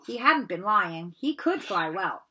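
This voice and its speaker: A woman in her forties reading a children's novel aloud in an expressive storytelling style. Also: medium pitch, measured pace, breathy edge. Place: bedroom at home, indoors.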